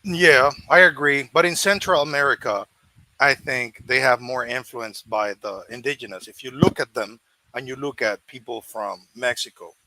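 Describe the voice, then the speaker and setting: A man with a bright, mid-pitched voice, recorded close and dry. He talks in a hesitant way, often restarting his sentences. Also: normal speed, no room sound, rough recording. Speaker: podcast co-host. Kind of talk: casual discussion.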